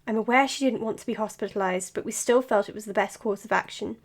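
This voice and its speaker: A woman's voice: medium pitch, fast, lightly acted. A woman playing an earnest, slightly awkward doctor in an audio drama, formal and a little self-conscious. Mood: composed, formal. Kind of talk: audio drama acting as a podcast monologue.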